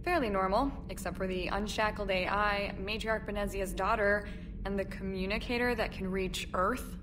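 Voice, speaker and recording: A woman's voice: mid-range voice, steady tone, normal speed, lightly acted. A woman playing a confident, eager war news reporter in a video game, punchy and assertive. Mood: wry, assertive. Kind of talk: video game cutscene dialogue. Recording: clear background noise.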